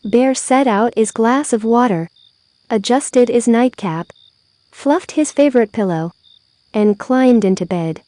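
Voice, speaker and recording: A woman reading a children's bedtime story aloud in a measured storytelling manner. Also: fairly high pitch, warm tone, dry recording. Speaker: storybook reader.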